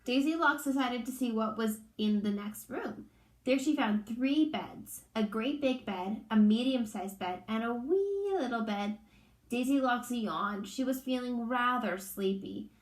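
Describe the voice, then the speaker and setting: Woman, children's read-aloud, teacher, high voice, expressive, roomy echo. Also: measured pace. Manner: expressive, simple phrasing for young listeners.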